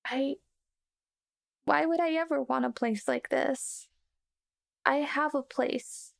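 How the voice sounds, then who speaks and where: A woman playing a frightened, homesick young girl in a tabletop game, halting, plaintive and stubbornly insistent. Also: sits high, fairly even intonation, vocal fry, heavily acted. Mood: scared, homesick.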